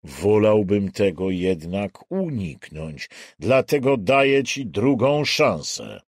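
A man voicing a calm killer in an audiobook thriller, stern, coaxing and coldly patronizing. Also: on the low side, no echo, studio quality, full character voice. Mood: cold threat. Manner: slow, stern, softly coaxing.

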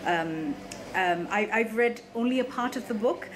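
A middle-aged woman with a Sri Lankan accent, hosting a formal book interview in a measured, articulate way. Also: on the high side, low-quality recording. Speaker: television interview host. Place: indoor room, seated.

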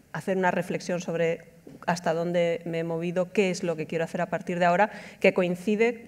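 A middle-aged woman interviewing an author on stage, asking long, measured questions. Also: lowish voice, a little mellow. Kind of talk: onstage interview. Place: large auditorium stage. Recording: long hall reverb.

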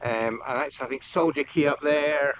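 A man in his fifties, chatting casually to camera while sailing alone, rambling with lots of ums and pauses. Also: a bit bright. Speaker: solo sailing vlogger. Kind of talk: casual running commentary to camera. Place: outdoors on a sailboat on open water. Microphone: action camera on the boat. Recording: wind and boat engine rumble behind.

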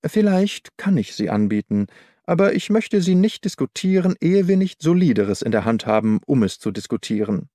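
A man voicing a self-assured, secretive detective in an audiobook, reasoning in a measured way. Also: a little high, sing-song, mellow, no room sound, clean studio sound. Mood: guarded, amused. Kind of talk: audiobook character dialogue.

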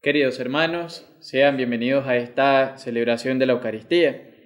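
A young man reading a prepared text aloud at Mass in a formal, measured manner, at a lectern microphone. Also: medium pitch, fairly even intonation. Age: young adult. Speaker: liturgical reader at Mass. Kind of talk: scripted reading. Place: small indoor chapel. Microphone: microphone on lectern stand.

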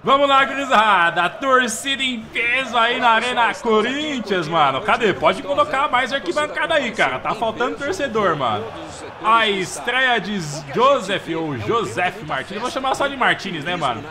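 A man's voice: very high-pitched, moderate pace. A man with a Brazilian accent giving slangy, casual commentary on a football game he is playing. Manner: slangy, chatty, keeps saying "mano" and "velho"; gets loud and excited over the crowd. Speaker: gameplay commentator. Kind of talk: casual let's-play commentary.

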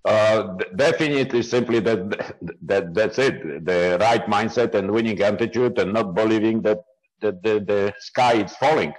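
A man in his fifties from Poland, speaking English with a Polish accent as an interview guest on a video call, with halting, non-native phrasing and frequent restarts. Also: fairly low pitch, rough recording. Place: small indoor room at home. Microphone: earbud headset on a video call.

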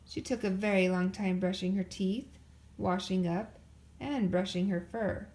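A woman reading a children's picture book aloud as an expressive storyteller. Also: medium pitch, a little slow. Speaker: storybook reader.

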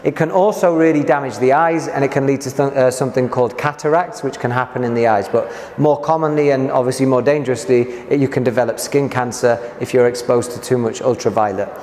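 A man's voice: mid-range voice, fast, hardly any pauses, darkish tone. A man in his forties teaching a classroom lesson, explaining emphatically and repeating key points. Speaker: physics teacher. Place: indoor classroom beside a presentation screen. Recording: echoey room, clear background noise.

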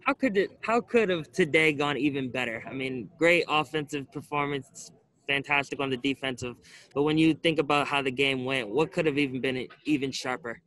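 A man's voice: medium pitch, normal speed, a bit bright. A young man working as a sports reporter, asking wordy, conversational questions at a press conference. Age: young adult. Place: indoor arena stands.

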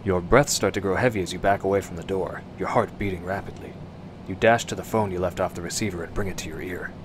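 A man narrating a suspense radio drama in the second person, with measured, hushed storytelling. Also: sits low, fairly even intonation, background noise, lightly acted.

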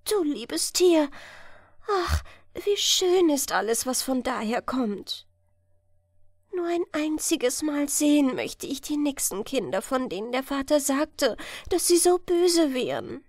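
A woman's voice: sits high, sing-song, slow pace, a little mellow, dry recording, clean studio sound, voice pushed up, heavily acted. A woman voicing a wistful, curious young fisherman's daughter in a fairy-tale audiobook, with yearning exclamations. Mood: tender wonder.

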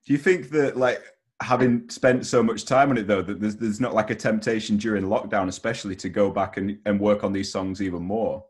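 Young man, lowish voice. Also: fairly even intonation, brisk. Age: young adult. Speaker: interviewer. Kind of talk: casual interview chat. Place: bedroom. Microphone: headset on video call.

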